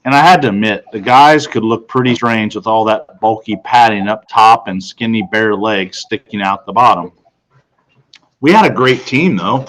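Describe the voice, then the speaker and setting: A man's voice: mid-range voice, fairly bright tone, poor audio. A man reading a first-person storybook narration aloud. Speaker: audiobook reader.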